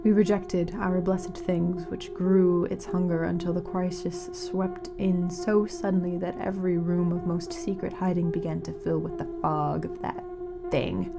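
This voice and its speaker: A woman playing a grave, mournful radio announcer in a fiction podcast, speaking solemnly and dramatically with weighted pauses. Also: fairly low pitch, animated, creaky. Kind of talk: scripted fiction-podcast narration as a community radio broadcast.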